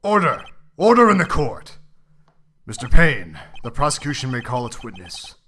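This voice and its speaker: A man's voice: medium pitch, big pitch swings, moderate pace, pushed and bright, a little hiss or hum. A man voicing a stern, dignified elderly judge with a formal, authoritative manner in a courtroom game fandub. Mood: commanding.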